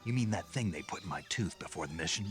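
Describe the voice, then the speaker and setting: An actor playing a gruff, world-weary special forces soldier with a gravelly voice and a terse, sardonic manner in a video game. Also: male voice, low voice, lively intonation, quick pace, fairly bright tone, faint music behind. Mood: dry, skeptical. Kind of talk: scripted video game dialogue.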